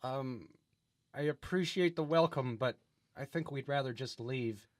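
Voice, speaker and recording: A man's voice: medium pitch, unhurried, no room sound, studio quality, lightly acted. A man playing a nervous, polite traveller in an improvised comic radio drama, hesitant and dramatic. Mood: polite, pleading. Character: nervous, polite male traveller in a bizarre mystery.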